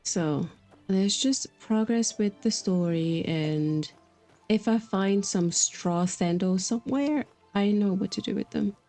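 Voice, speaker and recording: A woman's voice: mid-range voice, slow pace, warm tone. A woman livestreaming a video game, chatting casually and reading dialogue aloud in a musing, hesitant manner. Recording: music behind the voice. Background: game music.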